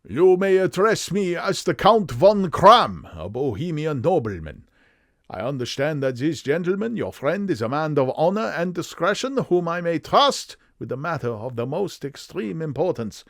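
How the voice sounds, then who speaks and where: A man's voice: very animated, moderate pace, fairly bright tone, no room sound, clean studio sound. A man voicing an imperious, secretive Bohemian count with a harsh voice and a German accent, formal and emphatic, in an audiobook. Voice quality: deep, harsh.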